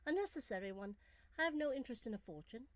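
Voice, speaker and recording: A woman's voice: on the high side, lively intonation, quick pace, no echo, voice pushed up, lightly acted. Woman reading as candid young Victorian lady, storybook heroine, earnest, formal, melancholy, dry close sound. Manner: earnest, formal, emphatic phrasing. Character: bold melancholy young Victorian Englishwoman, candid and self-doubting.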